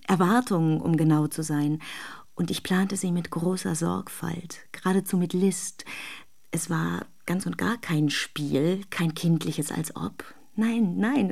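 Radio drama wife: longing, dreamy, confiding, low-pitched, creaky and breathy. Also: female voice, darkish tone. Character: dreamy, self-deluding married woman longing for her lover. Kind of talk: intimate radio drama monologue.

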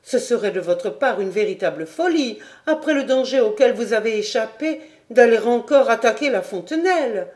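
A woman's voice: fairly high pitch, lively intonation, moderate pace, few pauses, a little mellow, voice pushed up, close to an everyday voice. Woman reading as an anxious pleading young noblewoman. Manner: urgent, imploring.

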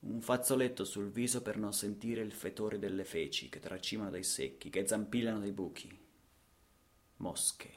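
A man telling a story as a radio theatre narrator, in deliberate, theatrical phrases with repetition. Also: medium pitch, a bit bright.

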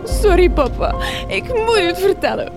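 A woman's voice: extremely high pitch, very animated, measured pace, full character voice. A woman playing a timid young daughter anxious to confess, in a gothic horror comedy radio drama. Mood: anxious, urgent. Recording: loud music under the voice.

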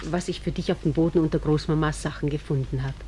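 A woman playing an affectionate, busy small-town mother in a radio drama, brisk and motherly. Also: deep voice, fairly even intonation, dark tone, a little hiss or hum, close to an everyday voice. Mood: fond, busy.

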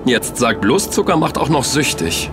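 An actor playing a sceptical, excitable man who protests in colloquial, incredulous dialogue in an audio drama. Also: medium pitch, normal speed, music in the background, natural voice. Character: sceptical, excitable male sugar lover named Kim.

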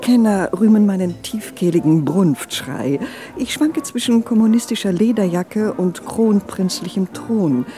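Eine Frau als affektierte Bohemienne, über Musik. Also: female voice, mid-range voice, unhurried, dark tone, soft background music, lightly acted. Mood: arch. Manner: self-presenting, arch. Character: vain, affected female bohemian society wife. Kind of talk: satirical radio drama monologue.

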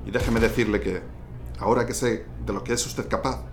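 A man's voice: fairly low pitch, steady tone, a little fast, heavy background noise, lightly acted. An actor playing a curt, commanding male lieutenant giving urgent orders in a radio drama. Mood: stern. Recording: music bed behind.

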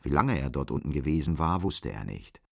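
A man's voice: extremely low pitch, big pitch swings, a little fast, gravelly, slightly rough. A man narrating a scripted literary radio drama.

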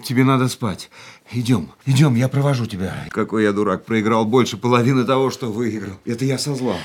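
An actor playing a devoted man in a radio play, eager and tender in a halting way. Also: medium pitch, husky, natural voice. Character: adult man, ardent, devoted lover.

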